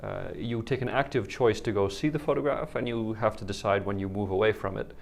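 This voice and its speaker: A man from the Netherlands with a Dutch accent, a guest explaining his work in measured answers. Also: fairly low pitch, vocal fry. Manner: measured, explanatory, some repeated words. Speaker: guest, organization head. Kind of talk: interview answers.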